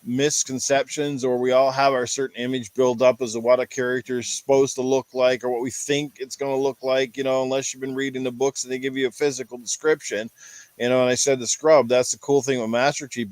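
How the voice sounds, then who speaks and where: A man's voice: medium pitch, no room sound. Chill guy rambling about games, dry close mic. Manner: rambling, many fillers and restarts. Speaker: podcast panelist. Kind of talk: casual chat.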